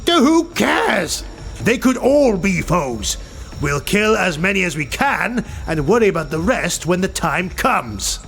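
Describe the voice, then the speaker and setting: A man voicing a ruthless, imperious highborn dark elf warlord in a fantasy audiobook, curt and commanding. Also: very animated, unhurried, creaky, slight background noise, exaggerated voice. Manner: barking, imperious.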